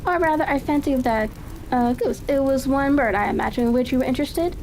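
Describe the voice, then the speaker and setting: Storybook gentleman detective, played by a woman reader: high pitch, polite, assured, cheery, faint ambient noise behind. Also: fairly quick. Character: confident, courteous gentleman detective, gleefully all-knowing.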